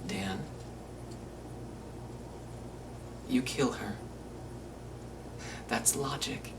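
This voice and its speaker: A man playing a menacing, controlling killer in a theatrical monologue, cold and volatile, with a deliberate, intimate, chilling delivery. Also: mid-range voice, lightly acted. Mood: cold menace. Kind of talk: theatrical monologue, self-taped audition. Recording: distant mic, faint room noise.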